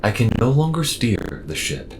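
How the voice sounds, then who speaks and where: A man's voice: mid-range voice, big pitch swings, moderate pace, very noisy, close to an everyday voice. A man voicing a polite, unflappable ship computer in a science fiction audio roleplay, with formal, procedural phrasing. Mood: formal warning.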